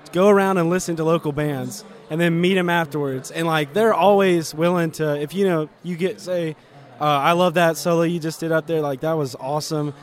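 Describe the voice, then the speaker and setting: An eighteen-year-old man in a casual interview, his voice pitched a bit high. He rambles in a friendly way and fills pauses with uhs. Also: fairly even intonation. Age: teens. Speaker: band member, guest.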